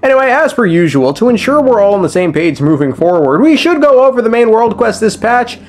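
A man's voice: high voice, very animated, brisk, slight background noise. A man giving a casual, joking recap commentary on game lore, with slang and swearing. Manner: irreverent, joking, profane. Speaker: video creator explaining game lore. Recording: music behind the voice. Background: background music.

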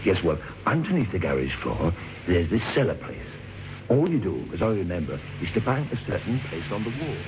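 A gossipy barroom old-timer in a vintage radio play. His low voice moves about freely, on a dull, faintly hissy recording. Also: very animated, a little fast. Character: gossipy male old-timer chatting in a bar. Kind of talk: old radio drama acting.

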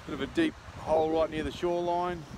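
A man's voice: on the high side, level tone, fast talker. A middle-aged Australian man narrating a casual vlog into a phone outdoors, chatty and cheerful. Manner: chatty, cheerful, cries of yeehaw. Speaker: vlogger. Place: outdoors on a windy beach and in a car. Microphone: phone held at arm's length. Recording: loud wind noise on the phone mic.

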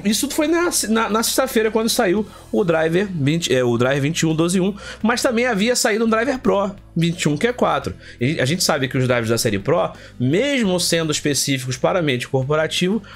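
A man from Rio with a slightly high voice, stuffed up by a heavy cold. He talks like a tech channel host, colloquial and emphatic, repeating phrases to drive them home. Music plays softly behind him. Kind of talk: casual tech vlog commentary.

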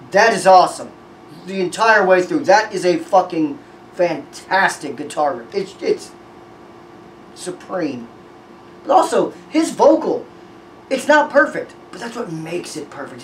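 A young man hosting a music reaction, talking casually with excited, profane, rambling praise. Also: high-pitched, distant mic. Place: small room with red backdrop. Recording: echoey room, voice a bit off-mic, faint noise.

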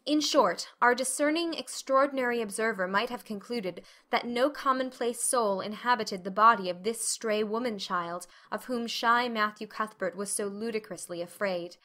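Bright-voiced woman narrating a storybook. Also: on the high side, normal speed, hardly any pauses. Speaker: audiobook narrator. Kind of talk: scripted dramatic storybook narration.

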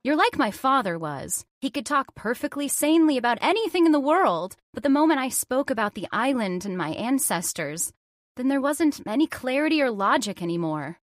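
A woman's voice: sits high, big pitch swings, moderate pace, dry recording, clean studio sound. A woman playing an anxious, insistent young woman in an old-time radio horror drama, pleading and questioning dramatically. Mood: frustrated, pleading.

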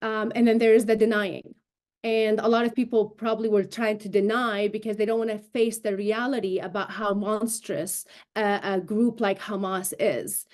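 A woman's voice: mid-range voice, flat delivery, moderate pace, darkish tone. Woman from syria, arabic native, broadcast journalist type, talking emphatic and articulate on a video call interview. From Syria, raised in Damascus. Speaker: interview guest, broadcast journalist and show host. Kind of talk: remote news interview, explanatory talk. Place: indoor room, video call.

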